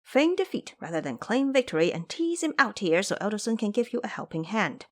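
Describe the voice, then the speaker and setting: Woman voicing a clever male monkey, lively pitch. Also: fairly quick, close to an everyday voice. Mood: confident, advising. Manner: wry, instructive, sure of himself. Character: clever, confident male monkey elder brother. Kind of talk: audio drama acting.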